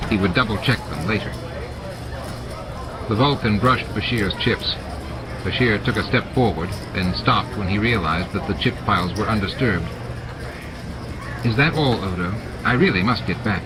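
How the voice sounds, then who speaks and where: A man reading scripted story narration as an audiobook narrator. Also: lowish voice. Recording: noisy audiobook with added sound effects. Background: added sound effects and ambience.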